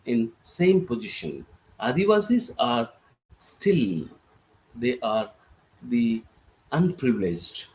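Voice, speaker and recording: An Indian man with a fairly high voice speaks on a panel, slowly and haltingly, with many fillers and restarts. His pitch rises and falls in a lively way. The room around him sounds echoey. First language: Gorboli. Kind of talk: panel discussion talk.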